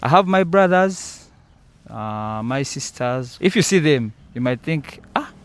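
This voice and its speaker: Soft-spoken Ugandan footballer, earnest. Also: male voice, mid-range voice, lively intonation, a little slow. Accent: Ugandan English. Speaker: footballer guest. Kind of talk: casual interview answers.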